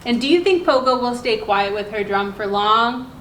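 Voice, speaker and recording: A woman hosting a children's story time, reading aloud in simple, instructive language and pausing to put questions to her listeners. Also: on the high side, expressive, a bit bright. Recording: roomy echo, clear background noise.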